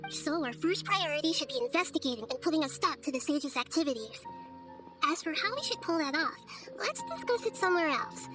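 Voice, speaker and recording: A high, girlish voice of a small god of wisdom, sits over clear background music. She is gentle and thoughtful, choosing words with care. The delivery is measured, articulate video game acting. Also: expressive. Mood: earnest, thoughtful. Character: wise, gentle little-girl god of wisdom.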